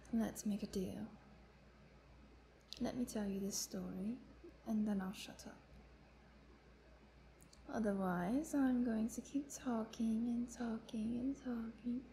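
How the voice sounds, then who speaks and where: A woman's voice: on the high side, slow, long pauses, mellow, slight background noise. A woman playing a chatty, playful vampire prisoner who teases and tells her woes theatrically in a roleplay monologue. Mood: playful, indignant. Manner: playful, talkative, teasing, theatrical. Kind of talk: dramatic roleplay monologue addressed to the listener.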